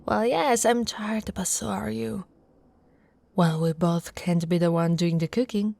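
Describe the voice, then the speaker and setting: A woman from Italy playing an affectionate, teasing female orc warrior in an intimate, soft-spoken ASMR roleplay. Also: mid-range voice, unhurried, creaky, dry recording. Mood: teasing, competitive. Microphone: close, dry microphone.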